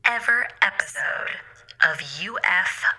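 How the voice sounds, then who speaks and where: A woman's voice: medium pitch, lively intonation, slow, in a whisper, rough recording. An actress playing an eager, polite, slightly hesitant female AI autopilot co-hosting a radio show in a space audio drama. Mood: eager, presenting. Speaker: co-host of an in-story radio show.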